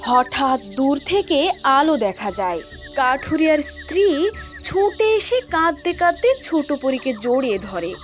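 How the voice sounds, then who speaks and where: A woman's voice: sits high, sing-song, normal speed, soft background music. A woman giving scripted, dramatic narration of a fairy tale.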